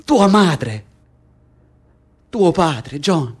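A man's voice: a little high, big pitch swings, drawn-out words, breathy edge, full character voice. A man acting in Italian radio theatre as a prisoner who insistently and bitterly taunts his cellmate, piling up detail step by step. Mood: relentless, heated. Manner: insistent, building lists step by step. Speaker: stage actor in a radio play.

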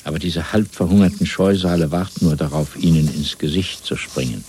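A man playing a cold, methodical totalitarian interrogator in a 1970s radio play, speaking in a measured, clinical, menacing way. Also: sits low, a little mellow, slight background noise, close to an everyday voice. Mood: clinical threat.

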